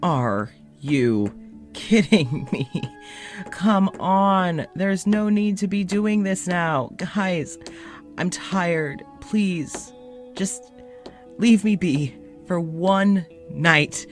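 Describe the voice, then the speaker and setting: Casting: a frayed, exhausted doctor in a horror audio drama, slow, faltering, pleading. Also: male voice, sits high, faint music behind. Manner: halting, weary, pleading, breaks into shouting. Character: exhausted, anxious male doctor keeping an audio journal.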